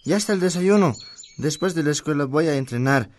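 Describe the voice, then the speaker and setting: An actor with a Bolivian accent playing a responsible, matter-of-fact young son in a radio drama. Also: male voice, fairly high pitch, animated, a little fast, darkish tone, slight background noise, lightly acted. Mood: brisk.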